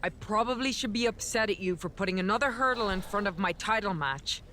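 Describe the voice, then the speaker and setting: A woman's voice: mid-range voice, a little fast, bright, forceful tone, a little hiss or hum, close to an everyday voice. Confident, frank female wrestler, acted by a woman. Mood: frank, approving. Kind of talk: scripted game cutscene dialogue.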